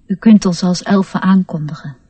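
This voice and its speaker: A woman playing a proud, earnest young male elf in a radio play, lofty and formal, with a husky voice. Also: lowish voice, fairly even intonation, moderate pace, mellow, no room sound. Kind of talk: Dutch radio play acting.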